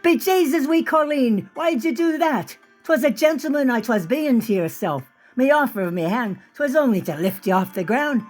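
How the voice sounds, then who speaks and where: A woman's voice: high voice, lively intonation, moderate pace, hardly any pauses, darkish tone, soft background music, voice pushed up. A woman voicing a strapping young male soldier with an Irish accent, blustering and sing-song.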